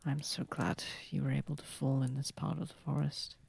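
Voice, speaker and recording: A man as a breathy, gravelly gentle vampire. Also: medium pitch, flat delivery, full character voice. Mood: sorrowful, comforting. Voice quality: soft, breathy, slightly gravelly, often near whisper. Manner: tender, polite, unhurried, soothing. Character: gentle, courtly, centuries-old cozy male vampire. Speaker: ASMR voice actor. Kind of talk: ASMR roleplay, soft intimate acting. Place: close, dry recording. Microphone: close microphone.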